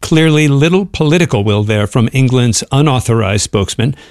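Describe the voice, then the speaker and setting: A man's voice: mid-range voice, animated, fairly quick, a little mellow, dry recording, clean studio sound. A man narrating a radio feature as the correspondent, scripted and wry. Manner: wry, playful.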